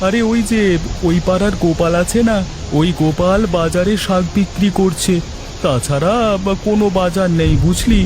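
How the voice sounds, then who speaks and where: A man's voice: high-pitched, normal speed, over music, close to an everyday voice. A man voicing a plaintive, haggling village man in a colloquial cartoon folk tale. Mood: grumbling. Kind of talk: acted cartoon dialogue.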